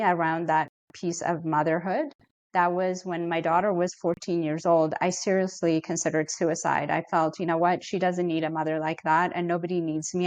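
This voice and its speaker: Low-voiced woman therapist, earnest. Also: no room sound. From Ethiopia. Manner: earnest, reflective, measured. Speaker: therapist guest. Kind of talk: podcast interview.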